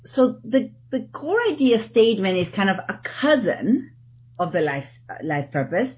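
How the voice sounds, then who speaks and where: A woman from Argentina with an Argentine accent, a guest in an interview, talking in an animated way and often restarting her sentences. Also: medium pitch, moderate pace, husky, dull audio. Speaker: guest, TEDx organizer. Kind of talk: podcast interview.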